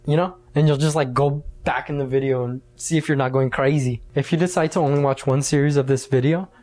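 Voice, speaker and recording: A man's voice: medium pitch, steady tone, a little fast, darkish tone. A man hosting a casual video essay, talking in an informal, conversational and slangy way.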